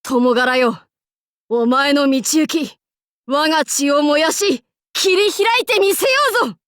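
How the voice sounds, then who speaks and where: A woman playing a brash, cocky young feudal warlord in an anime game, with rough, masculine, archaic and boastful speech. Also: high voice, a little slow, a bit bright, no room sound, clean studio sound. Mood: amused, resolute. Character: brash, cocky young feudal warlord, self-styled fool, fiercely loyal. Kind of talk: anime game character voice acting.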